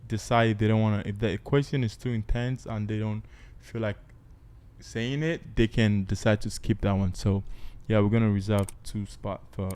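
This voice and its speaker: A man's voice: lowish voice, fairly even intonation, moderate pace, a little mellow, smooth. A male podcast host who rambles with lots of fillers while introducing his guests. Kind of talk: casual podcast hosting.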